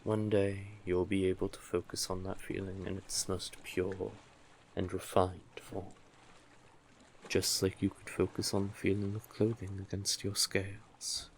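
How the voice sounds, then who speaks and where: A man acting an older male sea witch, very slow delivery, deep voice, doting, coaxing, intimate fantasy audio drama. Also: level tone. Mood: soothing, instructive. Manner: soothing, coaxing, doting, deliberate. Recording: clearly noisy, sea-cave ambience effects.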